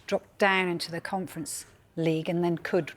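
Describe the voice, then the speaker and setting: A woman's voice: lowish voice, flat delivery. A woman presenting the news who puts questions to a reporter and often says "mm" while he answers. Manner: brisk, with frequent "mm" acknowledgements. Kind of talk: live news discussion.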